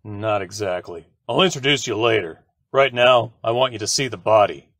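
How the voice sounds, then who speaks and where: A man's voice: lowish voice, moderate pace, vocal fry, fairly bright tone, no room sound, clean studio sound. A man playing a wry police lieutenant in an old-time radio drama, talking matter-of-factly. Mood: businesslike. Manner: matter-of-fact. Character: brisk, wry male police lieutenant, old pal of the detective. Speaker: supporting actor.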